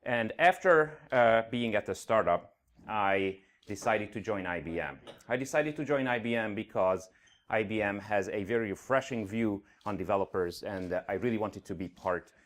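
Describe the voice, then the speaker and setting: Middle-aged hungarian guy, slight accent, earnest conference talk, lots of uhs, lowish voice. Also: expressive, normal speed. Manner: earnest, with frequent uh fillers. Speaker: conference speaker. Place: conference venue stage.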